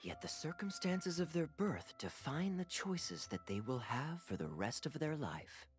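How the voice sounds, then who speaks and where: An actor voicing a suave, easygoing adventurer in a video game, with playful charm and teasing, smooth phrasing. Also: male voice, on the high side, lively intonation, unhurried. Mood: suave, courteous.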